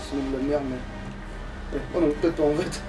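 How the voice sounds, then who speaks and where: A man with a noticeably high voice chats his way through a video game. He is crude and grumbles with plenty of swearing. He sounds some distance from the microphone, with music playing underneath. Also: fairly even intonation, normal speed. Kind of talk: casual gaming commentary. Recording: distant mic, music behind.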